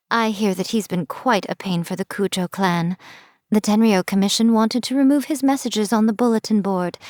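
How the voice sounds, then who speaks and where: Actress playing a teasing fox-spirit shrine priestess, game character, wry and playful, mid-pitched, dry booth sound. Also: female voice, a little mellow, no echo, lightly acted. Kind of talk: scripted game voice lines.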